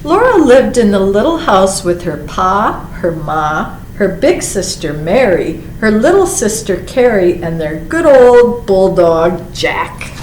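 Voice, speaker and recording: A woman reading a children's picture book aloud as a library storyteller, expressive, drawing out words and adding small asides. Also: medium pitch, slow pace, hardly any pauses. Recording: roomy echo with faint background noise.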